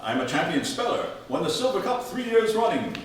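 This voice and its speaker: A man's voice: sits high, big pitch swings, a little fast, far from the mic, a little hiss or hum. A man playing a pompous, indignant 1840s judge with grandiose, old-fashioned diction in a comic radio play. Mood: earnest, proud. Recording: roomy hall recording from afar, music behind.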